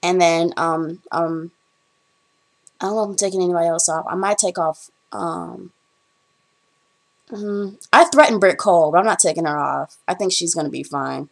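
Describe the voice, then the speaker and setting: Young female vlogger, lowish voice, chatty and rambling, fillers throughout. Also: moderate pace, creaky. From Bahamas. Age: young adult. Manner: chatty, rambling storytelling with frequent ums. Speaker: vlogger, college student. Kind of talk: casual chat to camera.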